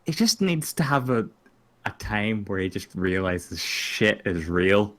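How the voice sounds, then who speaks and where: Finnish dude, lowish voice that swings all over, bright edge, cussing a lot and laughing. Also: male voice, sing-song, measured pace. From Finland. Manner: rambling, profane, laughs often. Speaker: podcast co-host. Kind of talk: casual chat.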